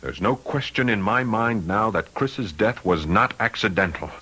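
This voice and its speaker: A man's voice: fairly low pitch, lively intonation, moderate pace, pushed and bright, husky, full character voice. An actor playing an earnest, protective man in an old-time radio mystery, speaking in an insistent, emphatic way. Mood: grave, urgent. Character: earnest, urgent, protective male confidant.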